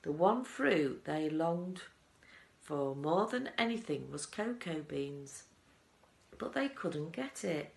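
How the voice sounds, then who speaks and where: Woman doing a proud quirky chocolate factory man, storybook, creaky, lots of relish, echoey. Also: fairly low pitch, full character voice. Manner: proud, emphatic, relishing the tale. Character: proud eccentric male chocolate maker, grand storyteller.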